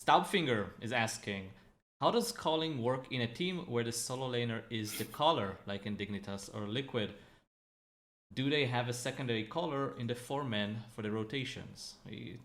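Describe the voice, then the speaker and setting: A man's voice: medium pitch, moderate pace, fairly bright tone. Man hosting, reading out viewer questions. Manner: reads questions out, light fillers. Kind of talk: casual panel chat.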